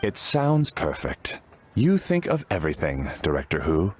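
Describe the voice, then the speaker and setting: A dutiful butler speaks for a video game in a fairly low voice. His delivery is formal and obliging, with intonation that rises and falls very widely. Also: wildly swooping pitch, unhurried, a little hiss or hum, full character voice. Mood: polite, agreeable. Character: deferential male household butler, polite and dutiful. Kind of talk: English video game voice acting.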